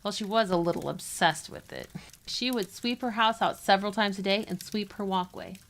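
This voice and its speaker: A woman playing a mother who gently tells a legend to children in an audio drama. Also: mid-range voice, normal speed. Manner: gentle, explanatory storyteller.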